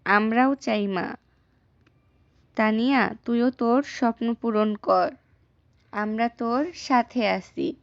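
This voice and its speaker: A woman's voice: fairly high pitch, slow pace, long pauses, no room sound, lo-fi sound. A woman playing a loving, worried mother from a poor village in a cartoon. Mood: caring, worried. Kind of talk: acted cartoon dialogue.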